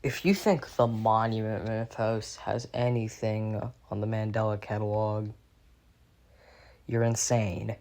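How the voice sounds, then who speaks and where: A young man in an unscripted critical rant as a video commentator, halting and repeating himself as he gropes for words, exasperated and sweary. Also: lowish voice, fairly even intonation, darkish tone. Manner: halting, repetitive, groping for words.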